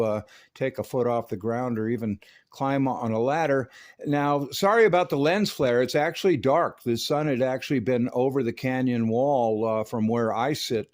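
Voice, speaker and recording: A man's voice: mid-range voice, darkish tone, no room sound, studio quality. A man hosting a hobby video, explaining a hands-on job step by step in a folksy way. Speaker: host of a radio hobby channel. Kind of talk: hands-on how-to demonstration and narration.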